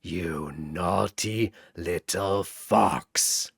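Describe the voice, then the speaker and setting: Raspy old christmas demon, real low n slow, whispery menace, storybook villain. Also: male voice, fairly bright tone, dry recording, studio quality, exaggerated voice. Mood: menacing. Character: ancient male Christmas devil, sinister, menacing, gloating.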